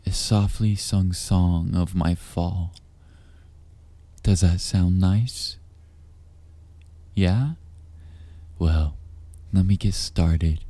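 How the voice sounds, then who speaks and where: Very deep, dark-voiced man, close and dry. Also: extremely slow, long pauses, no room sound. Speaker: voice actor. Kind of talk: intimate audio roleplay monologue, addressing listener as darling.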